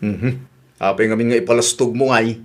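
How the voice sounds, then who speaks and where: A man's voice: medium pitch, steady tone, a little fast, natural voice. A man playing an easygoing, teasing older husband and father in a comedy radio drama, with an Ilocano accent. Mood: skeptical.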